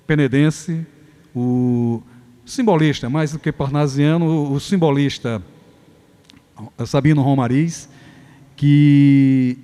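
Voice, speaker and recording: A Brazilian man giving a formal opening speech as a festival organizer, reflective and lofty, pausing and restarting his phrases. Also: fairly high pitch, warm tone. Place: theater stage at a live event. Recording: theater hall reverb.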